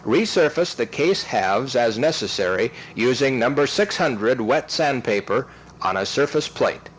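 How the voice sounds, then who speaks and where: A man reads scripted instructional narration in a methodical, step-by-step way, enunciating clearly. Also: mid-range voice, expressive, measured pace, a little hiss or hum, rough recording. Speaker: training film narrator.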